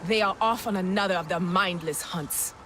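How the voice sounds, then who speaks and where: A woman's voice: on the low side, normal speed, fairly bright tone, a little hiss or hum, lightly acted. A woman playing a proud, commanding clan leader of an alien people, speaking formally and ceremonially in a dramatic video game. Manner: formal, oratorical.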